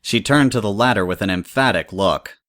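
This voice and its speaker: A man's voice: lowish voice, fairly quick, a bit bright. A man narrating a scripted story as a storybook reader.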